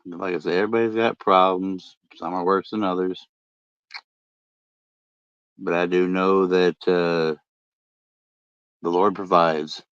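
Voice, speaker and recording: A middle-aged man with a deep voice, chatting casually late at night and rambling with many fillers and restarts. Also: steady tone, a little slow, long pauses, clear, resonant tone, dry recording. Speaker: podcast host and ham radio vlogger. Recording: dull lo-fi dry sound.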